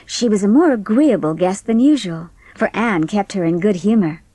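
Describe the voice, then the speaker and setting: Slightly husky woman narrating a story. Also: mid-range voice, expressive, moderate pace, mellow, dry recording. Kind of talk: scripted audiobook storytelling.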